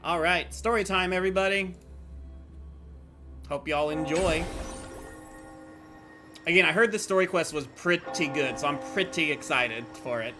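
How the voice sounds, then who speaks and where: A man's voice: on the high side, normal speed, long pauses. A man livestreaming a game, chatting casually in an informal, slangy, sweary way. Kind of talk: casual game stream chat. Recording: music playing behind the voice. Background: game music.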